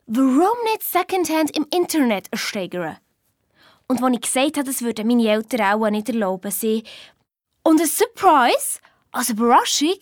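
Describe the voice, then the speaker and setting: A woman's voice: a little high, dry recording, studio quality, close to an everyday voice. A woman playing a sensible, bossy young girl with a Swiss German accent, telling her story in a chiding, colloquial way in a children's radio play. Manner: chiding, matter-of-fact, colloquial.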